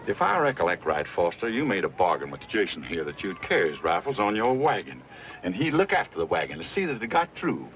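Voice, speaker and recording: An actor playing a folksy, plain-spoken frontier trapper in an American Western, with a rustic backwoods drawl. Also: male voice, sits low, very animated, brisk. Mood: firm. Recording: dull old recording with clear background noise.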